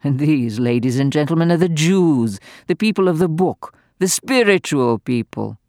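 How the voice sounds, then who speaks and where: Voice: medium pitch, lively intonation, normal speed, a little mellow, dry recording, studio quality, natural voice. A reader voicing a spiteful, venomous old aristocratic woman who sneers and gloats theatrically. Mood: gloating.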